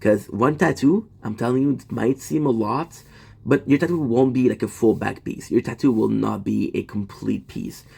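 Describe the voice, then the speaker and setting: A man's voice: mid-range voice, moderate pace, vocal fry, mellow, breathy edge. Man, rambling casual stream chat, answers the chat. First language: French. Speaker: livestream host.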